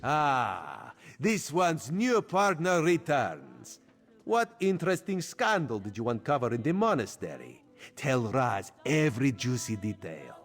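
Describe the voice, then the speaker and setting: A man's voice: medium pitch, wildly swooping pitch, a little slow, a bit bright, full character voice. Sly, lilting cat-man spy in reverb. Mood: playfully curious. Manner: sly, playful, theatrical delivery. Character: suave theatrical cat-man spy, gossipy, speaks in third person. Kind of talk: video game character dialogue.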